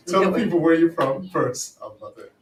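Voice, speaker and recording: A man hosting a casual radio chat, animated, coaching the guest along and quick to laugh. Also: fairly high pitch. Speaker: radio host. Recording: echoey room, mic at a distance, audible noise.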